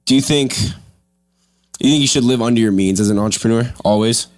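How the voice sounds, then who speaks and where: A man's voice: medium pitch, moderate pace. A young man hosting a podcast, asking questions in a casual, conversational way. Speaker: podcast host, interviewer. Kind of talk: casual interview chat.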